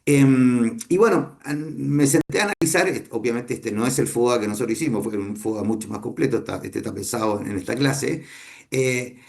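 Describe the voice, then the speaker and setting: A middle-aged man with a Chilean accent lectures students. His voice is gravelly and pitched mid-range for a man. He talks it through unhurriedly and often stops to rephrase. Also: darkish tone. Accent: Chilean Spanish. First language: Spanish. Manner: unhurried, thinking aloud with many fillers and restarts. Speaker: business owner teaching a class.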